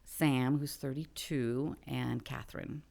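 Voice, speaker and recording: Very low, gravelly middle-aged woman. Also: slow pace. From Belgium. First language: French. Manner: relaxed, hesitant with many fillers. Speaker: guest. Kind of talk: interview, telling her life story. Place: studio.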